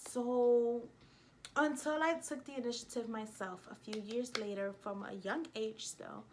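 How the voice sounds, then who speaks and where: A vlogger with a New York accent speaks straight to her camera, emphatic and openly indignant, her voice sitting a little on the high side. Her delivery is casual and expressive. A faint hiss of background noise runs underneath. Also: unhurried. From United States.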